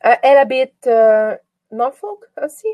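A woman's voice: on the high side, very animated, measured pace, dark tone, dry recording, clean studio sound. A woman from Quebec, chatting as an encouraging, reassuring conversation partner. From Quebec, Canada. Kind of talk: casual question-and-answer conversation practice.

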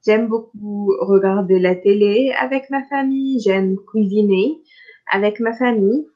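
Slow patient woman, lo-fi. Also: medium pitch. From Tunisia. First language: Arabic. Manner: patient, clear, repeats questions. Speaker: French conversation partner. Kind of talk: slow language-practice conversation.

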